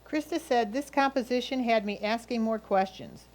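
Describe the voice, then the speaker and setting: A woman's voice: on the high side, normal speed, a little mellow, rough recording. A woman reading a judge's written critique aloud. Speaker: reader of judges' comments.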